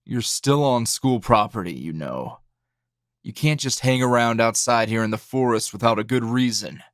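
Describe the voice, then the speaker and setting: A man playing a tired, gruff, dryly teasing hero schoolteacher in an ASMR roleplay, speaking low and gravelly in a halting, understated way. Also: animated, dry recording, clean studio sound, natural voice. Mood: irritated, weary. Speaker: voice actor.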